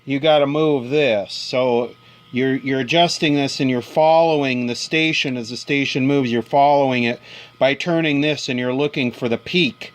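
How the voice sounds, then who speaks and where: A man's voice: medium pitch, fairly even intonation, normal speed. A man casually explaining a hands-on radio repair step by step, like a technician showing someone the job. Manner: explains step by step, pointing things out. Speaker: radio repairer demonstrating alignment. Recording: background noise, live workbench recording. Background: noise of a radio being worked on.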